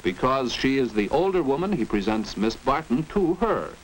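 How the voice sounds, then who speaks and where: A man's voice: medium pitch, big pitch swings, normal speed. A man with an American accent narrating a 1940s instructional film in a precise, didactic manner. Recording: noisy 1940s educational film soundtrack.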